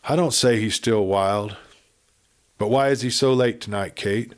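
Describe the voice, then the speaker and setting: Old western rancher dad, played by a male narrator: gentle, troubled, soft-spoken, folksy storybook character. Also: lowish voice, a little slow, close to an everyday voice.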